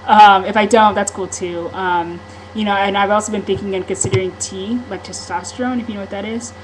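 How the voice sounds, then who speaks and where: A woman's voice: medium pitch, fairly even intonation, fairly quick, a little hiss or hum, rough recording. A woman talking candidly in a casual vlog monologue, rambling, with frequent ums and restarts. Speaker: vlogger.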